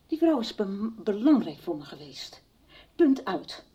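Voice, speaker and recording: A woman fiercely defending someone she loved, her voice lively and swinging in pitch. The tone is mellow and rather dark for her higher register. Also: unhurried, husky, muffled recording. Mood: defensive. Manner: emotional, defensive. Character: emotional woman defending a dead friend. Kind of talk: 1990s radio soap acting.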